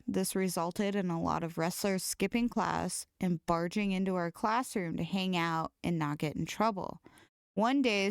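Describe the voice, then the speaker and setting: A woman's voice: fairly low pitch, clear, resonant tone, no echo, studio quality. A woman co-hosting a podcast, casually chatting and reading funny stories aloud. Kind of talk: casual comedic chat and story reading.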